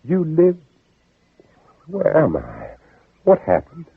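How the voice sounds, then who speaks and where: An actor playing a hunted, guilt-ridden Southern gentleman who narrates his own flight in a theatrical old radio drama. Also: a little high, huge pitch swings, slow pace, creaky, husky, no echo, full character voice. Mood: dazed. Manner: theatrical, dramatic, intense delivery. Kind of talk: 1950s radio drama, second-person narration. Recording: muffled old radio broadcast recording.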